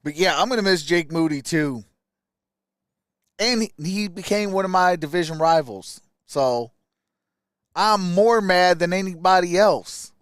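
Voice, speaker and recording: Male sports stream host, smooth high voice, colloquial fan talk, close dry sound. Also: measured pace, dry recording. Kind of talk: casual live sports commentary.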